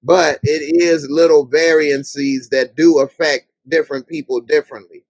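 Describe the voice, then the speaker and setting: A man's voice: on the high side, fairly even intonation, a little slow, dry recording, rough recording. Middle aged guy hosting a live chat, easygoing slangy talk, dry audio. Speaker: host of a live panel show. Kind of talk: casual group chat. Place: online group call.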